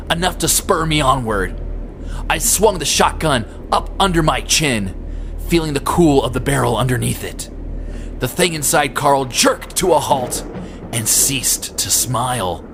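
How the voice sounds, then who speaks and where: A man reading a horror story as a dramatic narrator, in a grave, deliberate, suspenseful way. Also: mid-range voice, fairly bright tone, a little hiss or hum.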